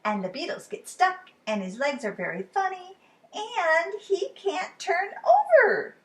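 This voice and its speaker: Woman voicing a small, very high girl, insistent and whiny, big swoops in pitch. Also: sing-song, measured pace, far from the mic, exaggerated voice. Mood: gushing. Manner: pleading, loud, whiny. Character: small excitable girl, book-obsessed and insistent.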